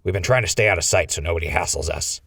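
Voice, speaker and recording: An actor playing a glib, wry male fugitive with a gravelly voice in a post-apocalyptic audio drama. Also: low-pitched, animated, fast talker, a bit bright, no echo, studio quality, distant mic, full character voice. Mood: nervous bluffing. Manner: wry, bluffing. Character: Crispy, glib scheming male wasteland fugitive.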